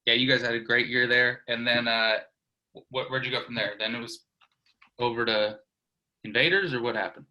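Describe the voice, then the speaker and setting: A man's voice: medium pitch, steady tone, moderate pace, bright, forceful tone, dull audio. A man hosting a casual interview, asking loose, rambling questions. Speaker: interviewer, host.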